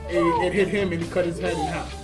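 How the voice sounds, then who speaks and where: A man's voice: on the high side, flat delivery, fast talker, music in the background. A man hosting a casual chat show with friends, recounting movie scenes loosely with laughs. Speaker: podcast host.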